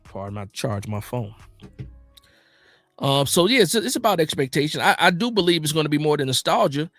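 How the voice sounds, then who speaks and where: An American man hosting a casual football livestream, reading out viewer comments and reacting in slangy, animated, informal talk. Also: fairly high pitch, a little fast, dry recording, faint music behind. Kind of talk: casual fan chat about football.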